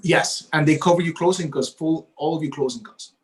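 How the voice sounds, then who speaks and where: A man's voice: a little high, fairly quick, rough recording. A man whose first language is Spanish, a realtor talking eagerly in a casual interview and often tagging his points with "right?". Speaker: realtor guest.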